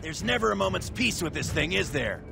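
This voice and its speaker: A swaggering game robot soldier, heavily gravelly and swinging widely in pitch. He is sarcastic and irreverent, heard over loud music and battle noise. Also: big pitch swings, brisk, heavily acted. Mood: sarcastic, irritated. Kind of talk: video game character acting.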